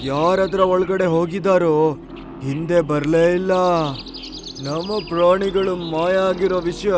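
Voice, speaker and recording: A man's voice: high-pitched, noisy background. A man voicing a worried, earnest talking forest animal in a cartoon story.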